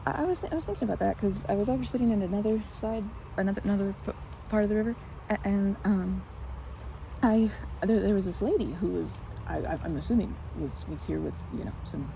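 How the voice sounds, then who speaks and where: A woman's voice: mid-range voice, quick pace, creaky, lightly acted. A woman playing a timid, sweet, awkward young woman in an ASMR roleplay, stammering and hesitant, with a giggly, flustered manner. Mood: chatty, animated. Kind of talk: ASMR roleplay, improvised-sounding one-sided conversation. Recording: muffled with loud outdoor ambience noise.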